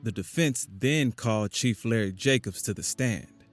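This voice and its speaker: A man narrates an audio drama in a low voice. He keeps an even, storytelling delivery throughout. Faint music plays beneath him. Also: normal speed. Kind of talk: scripted narration.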